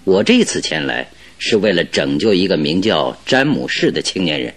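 Self-assured detective, low voice, animated swoops, theatrical, teasing, radio drama. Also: male voice, normal speed, exaggerated voice. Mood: courteous, composed. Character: confident, teasing male master detective. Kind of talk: radio drama acting in Mandarin.

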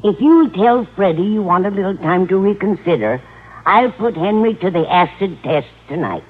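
An actress playing a fluttery, well-meaning older landlady with a husky voice and motherly fussing, in vintage radio sitcom style. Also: medium pitch, expressive, moderate pace. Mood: earnest, fussing. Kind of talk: 1950s radio sitcom acting. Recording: tinny old radio with audience.

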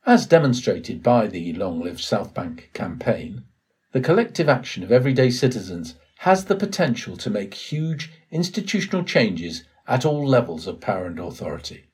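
A man reading a written essay aloud as scripted narration, in a formal, measured way. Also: fairly low pitch, sing-song, a little mellow, husky. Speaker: volunteer reader of an audio blog post.

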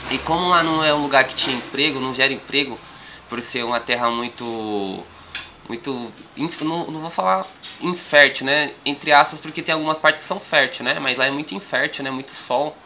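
A young man with a São Paulo accent, a rapper guest chatting calmly in a podcast interview, rambling with frequent tag questions. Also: mid-range voice, brisk, a little hiss or hum. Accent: São Paulo Portuguese. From Brazil.

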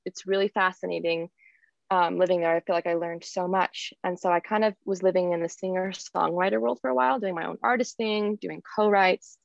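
Creaky-voiced woman on a dull video call. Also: fairly low pitch, no room sound. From Poland. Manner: enthusiastic, rambling storyteller. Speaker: guest, songwriter. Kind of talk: casual interview talk over a video call.

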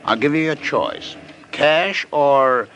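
An actor playing a slick, brazen fight promoter in an old radio drama, smooth-talking and insistent, with a noisy recording. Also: male voice, fairly high pitch, expressive, slow, fairly bright tone, lo-fi sound, heavily acted. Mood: pushy, confident. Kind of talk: 1950s radio detective drama acting.

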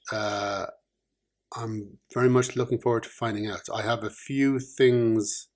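A man co-hosting a podcast, talking casually in a dry, teasing way with many pauses and ums. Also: lowish voice, a little mellow, breathy edge. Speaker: podcast co-host, author. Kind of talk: casual chat.

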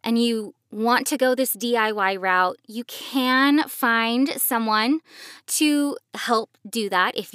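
High-pitched woman, close dry sound. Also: a bit bright, no echo. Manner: casual, reassuring, explanatory. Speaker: podcast host, professional voice actor. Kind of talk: conversational podcast advice.

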